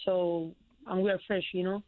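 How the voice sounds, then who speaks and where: A woman's voice: lowish voice, flat delivery, moderate pace, no echo. A young woman from Spain with a Spanish accent, answering interview questions as a college basketball player, hesitant with many fillers. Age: young adult. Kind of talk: phone-in radio interview. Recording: tinny phone line.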